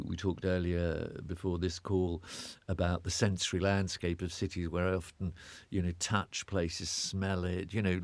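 A man's voice: low-pitched, no room sound. A British man giving an interview guest's reflective answers, often restarting and repeating words as he searches for a thought.

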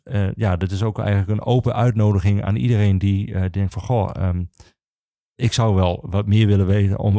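Guy explaining stuff on a podcast, low creaky voice, stops and starts a lot. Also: warm tone, dry recording, clean studio sound. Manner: halting, hesitant phrasing with frequent pauses. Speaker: regional archivist, interview guest. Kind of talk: informal explanatory podcast interview.

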